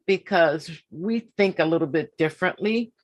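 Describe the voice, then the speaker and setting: Woman host, fifties, low-pitched, deliberate and emphatic, repeats phrases for weight. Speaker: podcast host. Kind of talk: casual interview.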